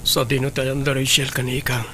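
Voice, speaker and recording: A man's voice: medium pitch, flat delivery, brisk, pushed and bright, slight background noise, lightly acted. A man playing a husband in a troubled household audio drama. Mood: weary, worried. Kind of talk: Ilocano audio drama acting.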